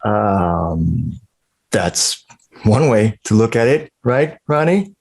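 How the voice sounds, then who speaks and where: A man's voice: on the low side, very animated, takes it slowly, gravelly, a little mellow, exaggerated voice. A man acting a polite, hesitant doctor in his thirties at a table read. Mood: awkward. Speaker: actor in a script table read.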